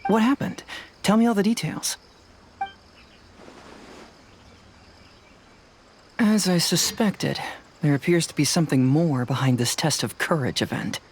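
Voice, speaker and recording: A woman playing a composed, analytical investigator in a fantasy game, explaining things in a measured, self-assured way. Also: deep voice, slight background noise. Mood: curious, businesslike. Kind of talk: animated game dialogue acting.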